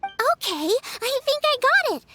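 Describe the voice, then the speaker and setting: A woman's voice: extremely high pitch, sing-song, measured pace, pushed and bright, a little hiss or hum, full character voice. A woman playing an eager, earnest young cook with a chirpy manner in a video game. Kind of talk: video game character acting.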